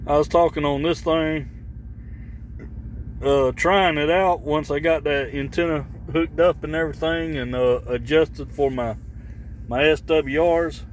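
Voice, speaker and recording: A man with a somewhat high-pitched voice chats casually through a hands-on gear demo. He rambles along in a folksy way. He is recorded on a camera microphone around a vehicle outdoors, with clear background noise. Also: fairly even intonation, measured pace. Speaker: vlogger demonstrating radio gear.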